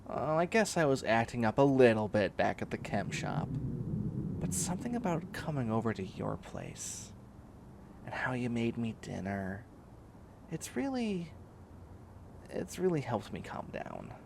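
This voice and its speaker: Clingy, demanding young catboy for comedic audio roleplay, male actor; lively pitch swings with a creaky edge, faint background noise. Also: a little slow. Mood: content, defensive. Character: bossy, clingy young man turning into a catboy.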